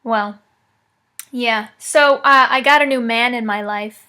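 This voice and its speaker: A woman's voice: a little high, slow, sharp tone. A woman making a prank phone call, talking in a mock-serious, teasing way. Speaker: prank caller.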